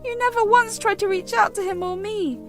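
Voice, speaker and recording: A woman doing a shrill, furious teenage girl. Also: moderate pace, music in the background. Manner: shrill, accusing, shouting. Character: angry, hurt teenage girl, bitter and accusing.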